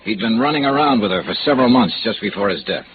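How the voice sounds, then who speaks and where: Male actor as noir private investigator: deep, terse, brooding, with widely moving inflection, 1950s radio style. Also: expressive, fast, background noise, lightly acted. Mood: businesslike. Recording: dull vintage radio recording with music.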